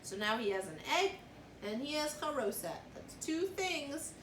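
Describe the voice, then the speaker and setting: A woman reading a storybook aloud to young children in an expressive, teacherly way, pausing to explain and ask questions. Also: high-pitched, recorded from a distance. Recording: roomy echo, clear background noise.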